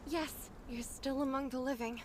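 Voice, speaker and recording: A woman playing a gentle, earnest, caring young healer with a polite, soft-hearted manner in a video game. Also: high voice, fairly even intonation, moderate pace, slight background noise, natural voice, poor audio. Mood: tender. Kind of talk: anime-style game dub acting.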